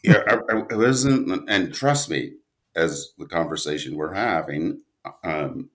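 Low-voiced, animated man telling stories. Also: a little slow. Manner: animated, rambling storyteller, self-interrupting. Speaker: guest, audio drama writer and producer. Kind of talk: casual podcast interview talk.

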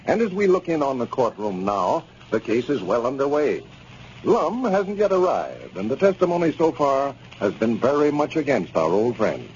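A man's voice: mid-range voice, a little mellow. A male radio announcer in 1930s style, speaking emphatically and with careful enunciation. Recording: muffled, noisy 1930s radio recording.

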